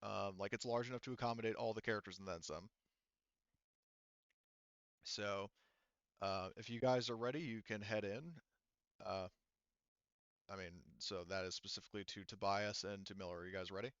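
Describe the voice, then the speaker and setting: A man narrating a tabletop roleplaying game as game master, explaining things in a halting way. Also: fairly low pitch, steady tone, fairly bright tone, clear, resonant tone, no echo, dull audio.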